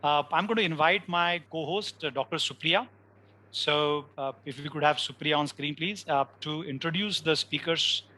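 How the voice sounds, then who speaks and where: A man's voice: on the high side, normal speed, bright, forceful tone. A man with an Indian English accent hosting an online event, handing over to his co-host with some hesitation. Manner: hesitant, many uh fillers. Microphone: video call.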